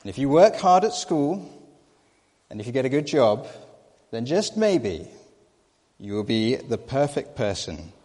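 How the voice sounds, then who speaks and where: A preacher voicing a patient father gently reasoning with his son, in a lightly acted storytelling voice. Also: mid-range voice, very animated, measured pace, long pauses, slightly rough, long reverb tail, voice pushed up. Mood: encouraging.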